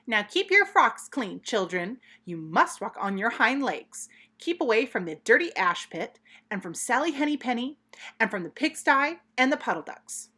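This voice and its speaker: Storybook mother cat, stern and fussy, forceful bright tone, lively pitch swings; female reader putting her on. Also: normal speed, full character voice. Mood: bossy, warning. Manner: bossy, prim, emphatic.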